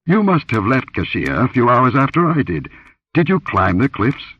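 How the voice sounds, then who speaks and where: A man voicing an angry, contemptuous barbarian warrior, barking and rumbling his words in a pulp adventure story. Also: sing-song, moderate pace, slightly rough, no echo, far from the mic. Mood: angry, demanding. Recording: dull lo-fi audio.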